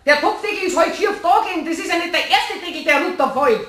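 An actress playing a skeptical, sharp-tongued middle-aged wife in a radio play, scolding brusquely with a Bavarian accent. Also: female voice, extremely high pitch, lively intonation, quick pace, reverberant, slight background noise. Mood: irritated. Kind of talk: German radio play acting.

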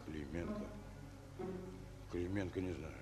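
An actor playing a calm, plain-spoken Soviet junior commander in a radio play, laconic and unhurried. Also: male voice, deep voice, music in the background. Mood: friendly, calm. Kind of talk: Russian radio play acting.

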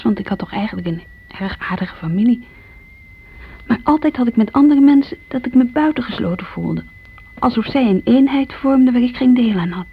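An actress playing a lonely, guilt-ridden younger daughter in a Dutch radio drama, confessing hesitantly in a creaky, sometimes breathy voice. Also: female voice, medium pitch. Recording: muffled, noisy old radio recording.

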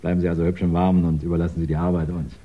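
An actor playing a courteous, wryly amused chief inspector in a 1960s German radio crime drama, soothing and gently condescending. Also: male voice, low-pitched, level tone, rapid-fire. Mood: wry, calm. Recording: dull 1960s radio recording.

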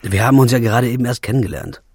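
A man's voice: medium pitch, very fast, a little mellow, breathy edge, no room sound, studio quality, lightly acted. An actor playing a blunt, grieving man in an audio drama, speaking in a laconic, detached way, with music playing underneath. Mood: evasive. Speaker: first-person narrator and lead character.

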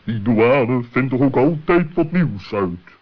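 An actor playing a practical, plain-speaking male owl in a children's radio play, with a husky voice. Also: mid-range voice, expressive, slow pace. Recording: dull old radio play recording.

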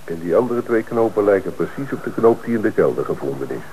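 A man plays a matter-of-fact detective in an old radio play, with a fairly low voice. A faint hiss runs under the recording. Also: expressive, quick pace, a little mellow, lightly acted, poor audio. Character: practical male detective, the commissioner's assistant. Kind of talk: radio drama acting.

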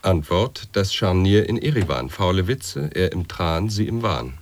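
A man's voice: low voice, lively intonation, measured pace. An actor playing an absurdly pedantic man, declaiming wordplay and grotesque nonsense in an avant-garde radio play.

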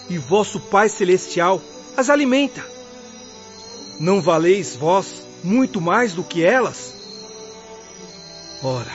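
An actor playing a humble, good-humored and devout poor farmer in a radio drama, speaking earnestly. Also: male voice, high voice. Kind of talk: Brazilian radio drama acting. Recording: dull lo-fi audio.

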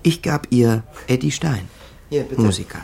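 An actor playing a smooth-talking small-time crook in a radio crime drama, wry and rambling, both talking and narrating. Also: male voice, mid-range voice, animated, normal speed, a crowd in the background. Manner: wry, hesitant, rambling in dialogue.

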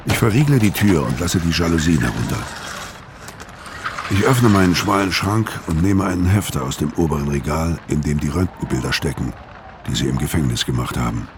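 An actor playing a weary, middle-aged ex-convict who narrates and speaks in a radio crime drama, laconic and guarded, with a gravelly voice. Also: male voice, a bass voice, animated, fairly quick, noisy background. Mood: laconic, brooding. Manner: laconic, understated. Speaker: narrator and lead character. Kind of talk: German radio crime drama, first-person narration and dialogue.

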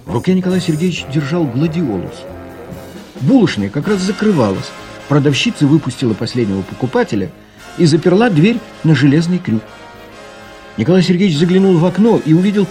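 A man's voice: on the high side, a little mellow. A man reading scripted literary narration for an audio play, telling the story expressively.

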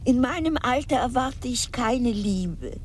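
An actress playing a cool, composed middle-aged woman in a German radio drama, measured, terse and unsentimental. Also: on the high side, lively intonation, a little mellow, a little hiss or hum. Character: mature widow, cool, composed, matter-of-fact.

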